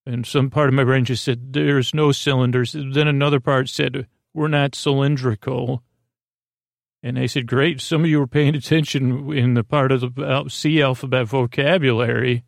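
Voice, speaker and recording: A man speaks in a gravelly, creaky voice, close and dry with hardly any room sound. He hosts a bedtime podcast as a rambling, improvised monologue. His delivery is soothing and halting, wandering off into tangents. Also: medium pitch, fairly even intonation, no echo. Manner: meandering, halting, trails off into tangents; soothing, lulling. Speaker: podcast host.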